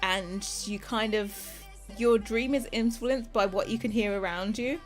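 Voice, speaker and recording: A woman's voice: medium pitch, a little slow, faint music behind. A young British woman chatting casually with her livestream viewers. She rambles, laughs often and sometimes loses her train of thought. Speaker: streamer.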